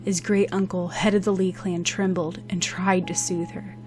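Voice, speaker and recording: Female audiobook narrator, lower register, scripted dramatic storytelling. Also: little pitch movement, normal speed, darkish tone, soft background music.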